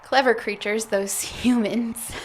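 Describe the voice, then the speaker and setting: A woman's voice: fairly high pitch, slightly rough, heavily acted. A woman playing a flustered, evasive alien graduate student who stammers and chuckles nervously in an audio comedy. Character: flustered female alien grad student, evasive, squeamish fibber. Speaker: voice actor. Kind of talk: audio comedy drama acting.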